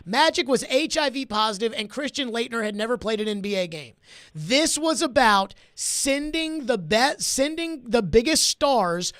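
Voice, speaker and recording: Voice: mid-range voice, expressive, normal speed, a bit bright, no echo, clean studio sound. A sports talk host arguing opinions emphatically and repeating phrases to drive the point home.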